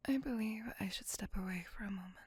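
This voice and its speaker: A woman playing a centuries-old, possessive and tormented female vampire in an intimate ASMR roleplay, speaking in a breathy whisper with formal, archaic, tenderly menacing delivery. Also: medium pitch, a bit bright, no room sound, heavily acted.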